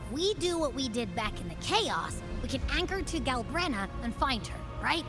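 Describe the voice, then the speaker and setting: An actress playing a plucky, chatty, easily spooked young companion in a video game, with an expressive, casual delivery. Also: female voice, high-pitched, normal speed, music in the background. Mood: uneasy, curious. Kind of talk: animated video game acting.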